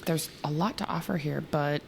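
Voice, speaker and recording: A woman co-hosting a podcast in casual chat, her voice hoarse, chatty and quick to laugh, often trailing off mid-sentence. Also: low voice, a little hiss or hum. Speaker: podcast co-host.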